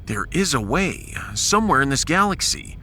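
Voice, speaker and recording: Man acting a forceful, wry starship captain. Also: fairly high pitch, sing-song, normal speed, a little hiss or hum, heavily acted. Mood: stern. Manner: decisive, dry, commanding. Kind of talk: science fiction audiodrama acting.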